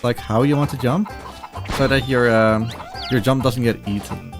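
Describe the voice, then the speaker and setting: A man's voice: medium pitch, normal speed, over music. A man giving casual live speedrun commentary, explaining things in a hesitant, dryly humorous way.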